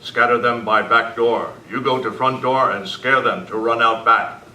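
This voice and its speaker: A man's voice: mid-range voice, steady tone, moderate pace, bright, forceful tone. A man playing a stoic, literal-minded sidekick in an old-time radio western, speaking clipped broken English. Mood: dry, scheming. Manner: clipped, broken English dropping articles and pronouns. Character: Tonto, stoic, literal-minded Native sidekick of a masked lawman. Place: club meeting room, live presentation. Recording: echoey, noisy room, distant mic.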